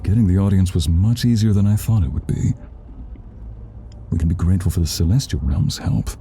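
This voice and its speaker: A man's voice: deep voice, brisk, creaky, warm tone, slight background noise. A man playing a dignified, idealistic demon lord in an audio drama, with a courtly and encouraging manner.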